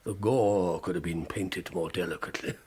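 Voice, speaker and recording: An actor playing an ailing, imperious and dry-witted old medieval pope in a radio drama, halting and stately. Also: male voice, deep voice, dark tone, breathy edge. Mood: wry. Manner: halting, stately, wryly fastidious. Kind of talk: radio drama acting and first-person narration.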